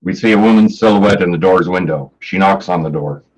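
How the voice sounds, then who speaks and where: A man with a fairly low voice serves as the announcer and narrator of a staged reading. His delivery is plain and matter-of-fact, suited to stage directions. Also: brisk, poor audio.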